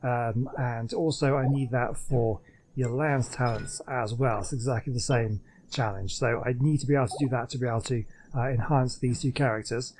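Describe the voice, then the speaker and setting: A man's voice: mid-range voice, steady tone, moderate pace, darkish tone, slight background noise. A man hosting a gaming channel, chatting casually over gameplay in a hesitant, rambling way. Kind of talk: casual let's play commentary.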